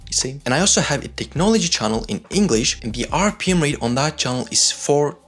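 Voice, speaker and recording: A man's voice: on the high side, lively intonation, fast, slightly rough, faint music behind. A man with a Turkish accent, a content creator explaining things in a loose, chatty way with lots of filler words. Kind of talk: casual talking-head explainer.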